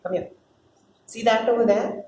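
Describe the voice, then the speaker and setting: A woman's voice: fairly high pitch, fairly even intonation, normal speed, dark tone, lightly acted. A woman voicing God as a doting, chatty, motherly creator who fusses and instructs in a dramatic play reading. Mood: flustered, warning. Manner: casual, instructing, fussing with interjections. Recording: roomy, distant mic, faint noise.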